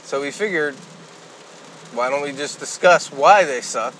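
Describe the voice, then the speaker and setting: A man's voice: on the high side, a little slow, fairly bright tone, slight background noise, rough recording. A man co-hosting a podcast in casual, loose chat with some mild swearing. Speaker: podcast co-host.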